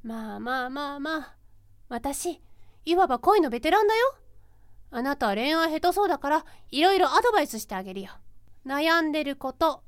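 A woman's voice: sits high, very animated, fairly quick, no echo, clean studio sound. A woman playing a teasing, bossy, chatty female ghost in a fantasy voice drama. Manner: chatty, cheeky, self-assured. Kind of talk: Japanese fantasy voice drama acting.

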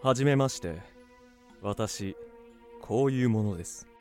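A man's voice: lowish voice, measured pace, dark tone, close to an everyday voice. Polite male institute director over faint music actor. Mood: polite, composed. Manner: very polite, formal. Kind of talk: Japanese amateur voice drama acting.

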